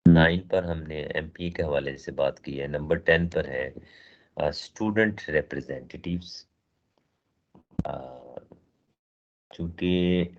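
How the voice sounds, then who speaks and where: Very deep, slow man with a sore throat. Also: lo-fi sound. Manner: measured explanatory delivery, mixing in English phrases, frequent "uh" pauses. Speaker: lecturer at a teacher training. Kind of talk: slow recorded lecture.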